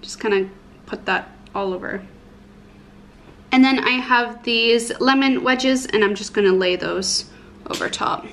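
A young woman with a fairly high voice chats casually as a vlogger. She is bubbly and pokes fun at herself in an informal way. She records indoors at home, with noticeable room noise behind her. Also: a little slow, long pauses, fairly bright tone. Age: 20s. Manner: bubbly, self-deprecating, informal. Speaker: beauty and lifestyle vlogger. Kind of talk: casual chatty vlog.

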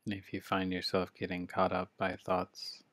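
A man with a very low voice guides a meditation from home over a video call. He speaks slowly and almost without pitch movement, leaving long pauses between short phrases. The sound is dry and close. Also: monotone, a bit bright, no echo. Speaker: meditation teacher. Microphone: video call mic.

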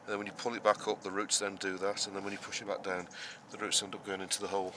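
Low-voiced man giving a garden tour. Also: level tone, racing. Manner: rambling, many fillers and restarts, explains step by step. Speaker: allotment gardener, show host. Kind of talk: casual garden tour walkthrough. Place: outdoors on a vegetable allotment. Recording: noisy outdoor camera audio. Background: outdoor rural ambience.

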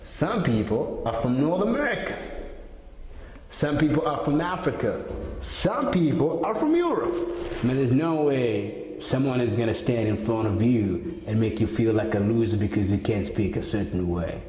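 A man's voice: mid-range voice, big pitch swings, moderate pace, a little mellow, very echoey, in a large hall, low-quality recording. A young man ranting to the camera in a small room, angry and emphatic, swearing often, into an earphone mic. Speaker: vlogger. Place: small indoor room with maps on wall.

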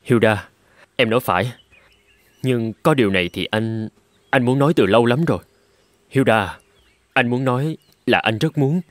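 A man voicing a big, humble, lovelorn young carpenter who is easily wounded, in an animated fairy tale. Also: medium pitch, moderate pace, long pauses, no echo, lightly acted. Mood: shy, earnest. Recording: background music under the dialogue.

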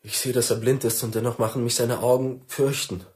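A man's voice: mid-range voice, level tone, a little fast, a little mellow. A man acting a weary, dignified father of an outcast family in a German radio play, subdued and pleading. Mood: grave. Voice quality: rough, husky.

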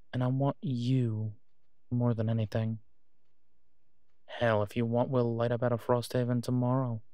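A man playing a tired, devoted and protective werewolf in an intimate audio drama phone call, speaking in a halting, reassuring way. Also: medium pitch, mellow, no room sound, clean studio sound, lightly acted. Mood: tender, wistful.

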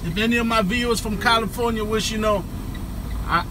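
A man's voice: high voice, fairly quick. A young man chats casually to his vlog viewers as a trucker, with slangy, playful swagger. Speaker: trucker vlogger. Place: truck cab and roadside, driving on a highway. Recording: loud vehicle and road noise.

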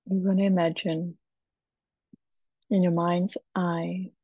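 A woman leading a guided meditation in a soft, breathy, soothing voice, with short phrases and long pauses. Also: lowish voice, level tone, dry recording. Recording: dull, muffled, dry recording.

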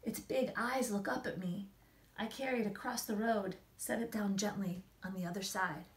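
A woman in her forties, an author reading and explaining things to children in an expressive, emphatic storytelling manner. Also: mid-range voice, normal speed. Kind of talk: expressive picture-book read-aloud and explanation to children. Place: small indoor room against a plain wall.

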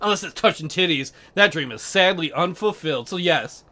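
Animated, bright-voiced goofy woman. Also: on the low side, fairly quick. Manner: goofy, crude joking, rambling. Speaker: let's play host. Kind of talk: casual joking game commentary.

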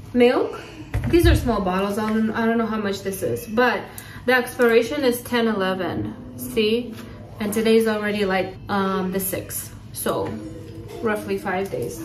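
Unhurried woman vlogging in an echoey kitchen. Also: on the high side, far from the mic. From California. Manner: chatty, relaxed, laughing asides. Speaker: family vlogger. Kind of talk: casual grocery haul vlog. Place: home kitchen, indoors. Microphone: phone camera at arm's length. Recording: echoey kitchen, voice a bit off-mic.

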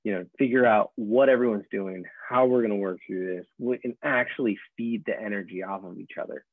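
A man hosting a casual interview, speaking informally and a little haltingly over thin call audio. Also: fairly low pitch, no echo, phone-quality sound. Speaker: interviewer, host. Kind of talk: casual conversation.

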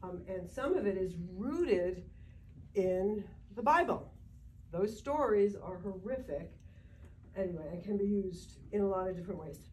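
An older woman asks a question from her seat in a meeting room, far from the camera, with no microphone. She speaks softly and hesitantly, rambling a little, in a mellow, dark voice. A faint hiss of room noise runs under her words. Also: mid-range voice, unhurried. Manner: soft-spoken, hesitant, rambling. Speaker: audience member asking a question. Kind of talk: question from the floor.

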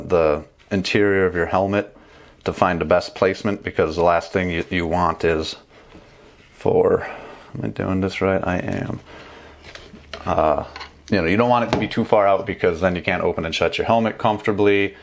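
A man in his thirties casually demonstrating a gear install at his desk at home, chatty with fillers and restarts. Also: low voice. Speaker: motorcycle travel vlogger. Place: small home room with bookshelves and desk.

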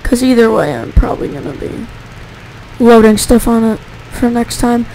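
A woman chatting her way through a game, rambling and correcting herself, with a slightly higher pitch. Her voice is sore and has a gravelly fry to it. A faint hiss of noise sits under the recording. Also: animated, moderate pace, mellow, rough recording. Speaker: gaming YouTuber. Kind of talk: casual gameplay commentary.

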